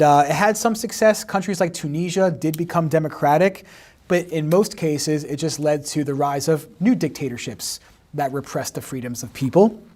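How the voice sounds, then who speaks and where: Male teacher, 40s, slightly hoarse, higher-pitched, patient livestream explainer. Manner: explanatory, peppers sentences with "right?". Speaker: history teacher. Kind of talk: livestream exam review lesson. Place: home in the suburbs.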